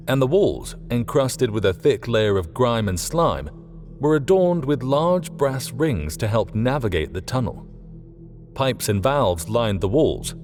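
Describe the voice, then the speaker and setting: A man's voice: medium pitch, a little mellow. A man reading scripted fiction as an audiobook narrator.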